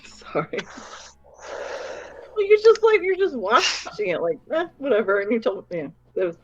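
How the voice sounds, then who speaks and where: A woman chatting casually as a co-host, telling stories in an animated way and swearing freely. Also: on the high side, moderate pace. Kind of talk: casual chat. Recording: dull lo-fi sound.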